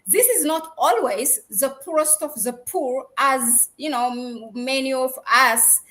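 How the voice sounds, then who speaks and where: A woman hosting a discussion interview, putting questions in a halting, roundabout way. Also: high voice, animated, fairly bright tone. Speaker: interview host.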